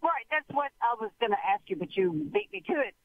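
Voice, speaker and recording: A woman calling in to a radio show, talking in halting, rambling bursts and breaking off her own sentences. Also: fairly high pitch, lively intonation, no room sound. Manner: halting, rambling, interrupts herself. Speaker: caller. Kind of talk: call-in radio conversation. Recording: tinny phone line.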